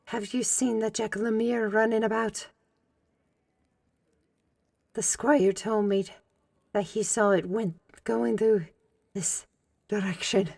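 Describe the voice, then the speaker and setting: Woman playing a slow, halting, courteous fantasy searcher. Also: medium pitch, steady tone, vocal fry, a little mellow. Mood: polite, searching. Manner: courteous, halting broken speech. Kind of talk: fantasy audio roleplay acting.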